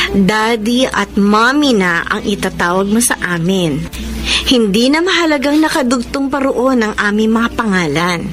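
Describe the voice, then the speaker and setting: An actress playing a loving, gently firm adoptive mother in a radio drama, tender and earnest. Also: medium pitch, natural voice. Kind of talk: Filipino radio drama acting.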